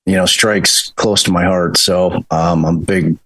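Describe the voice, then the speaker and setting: A man in his fifties, a defense attorney, answering interview questions in a relaxed, chatty way with frequent restarts. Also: low-pitched, a little slow, no echo, rough recording. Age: 50s. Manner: relaxed, chatty, repeats words when starting a thought. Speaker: criminal defense attorney, podcast co-host.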